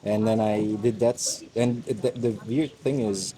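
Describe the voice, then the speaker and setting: A man's voice: fairly low pitch, fairly even intonation, unhurried. Turkish man musing over crowd noise. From Turkey. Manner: reflective, rambling with many fillers and restarts. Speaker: host. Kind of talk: casual outdoor conversation. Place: outdoors in a busy mountain village.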